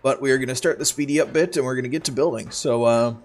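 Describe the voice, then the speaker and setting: A man's voice: medium pitch, fast. A man with a cold, sounding stuffed up, hosting casual game commentary in a chatty, rambling way full of ums and uhs. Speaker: gaming channel host.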